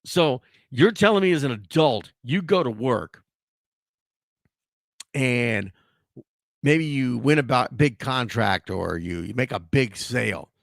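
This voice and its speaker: A hoarse man hosting a sports talk show, ranting in a casual, animated way with frequent restarts. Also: medium pitch, slow pace, pauses often, no room sound, studio quality.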